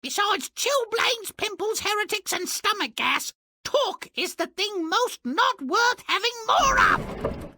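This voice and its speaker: A man's voice: very high voice, lively intonation, slow pace, pushed and bright, a little hiss or hum, heavily acted. An actor voicing a haughty, tyrannical woman who fancies herself a goddess in a fairy-tale video game. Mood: disdainful.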